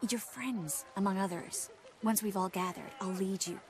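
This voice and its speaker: Game casting: actress as young sorceress, medium-pitched, earnest, kind, calm and reassuring. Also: female voice, moderate pace, crowd noise, lightly acted. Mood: guarded, earnest. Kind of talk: video game character acting.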